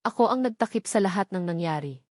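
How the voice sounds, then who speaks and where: A woman's voice: mid-range voice, lively intonation, fast, a little mellow, no room sound, clean studio sound, close to an everyday voice. A woman narrator voicing a cold, haughty, threatening older mother from a wealthy family, with an icy, commanding manner in a dramatic story. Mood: angry, threatening.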